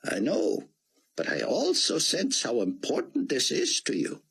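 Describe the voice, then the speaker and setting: A man voicing a kindly, cautious older male scientist who speaks gently and protectively. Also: medium pitch, huge pitch swings, a little slow, vocal fry, breathy edge, pitched above the performer's own voice, exaggerated voice.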